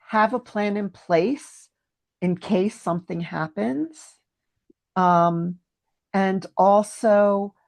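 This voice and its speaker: A middle-aged woman with a lower voice and a French first language, speaking English in a casual podcast interview. She answers thoughtfully, pausing and filling gaps with small hesitations. The sound is dry and close. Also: gravelly, a little mellow, dry recording. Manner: thoughtful, hesitant with frequent ums. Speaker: guest.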